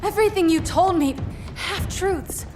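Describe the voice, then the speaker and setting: Earnest young superheroine, high voice. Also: female voice, unhurried, over music. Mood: accusing, hurt. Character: young idealistic Kryptonian heroine, defiant and hurt. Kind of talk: cinematic superhero game acting.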